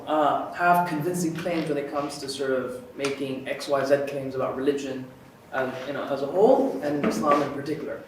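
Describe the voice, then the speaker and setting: A man in his thirties from Kuwait lectures informally in a very echoey room, picked up at a distance from the mic. His voice sits a little high for a man, and he talks conversationally, often checking that listeners follow. There is faint noise in the recording. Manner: conversational, frequently checks in with "right?". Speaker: lecturer, university professor teaching a course.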